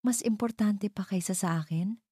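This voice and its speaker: A woman's voice: medium pitch, normal speed, dark tone, breathy edge, no echo, clean studio sound, voice pushed up, close to an everyday voice. A woman voicing an insecure, demanding young mistress in a romance drama, pleading and indignant. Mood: hurt, frustrated.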